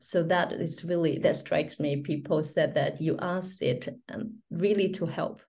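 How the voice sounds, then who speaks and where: A middle-aged woman hosting a podcast interview, admiring and quick to laugh. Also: deep voice, fairly even intonation, a little mellow. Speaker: podcast host.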